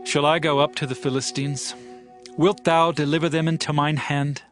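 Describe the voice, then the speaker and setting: A man playing stern biblical king, high male voice, solemn declamation, scripture drama, music behind. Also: level tone, normal speed, close to an everyday voice. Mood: earnest questioning. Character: stern, righteous warrior king of ancient Israel. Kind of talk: dramatized scripture audiobook acting.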